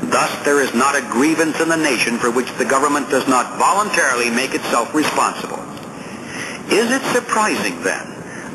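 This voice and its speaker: Formal male audiobook narrator, noisy recording. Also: medium pitch. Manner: measured, emphatic, formal, deliberate phrasing. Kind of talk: scripted nonfiction narration, oratorical reading.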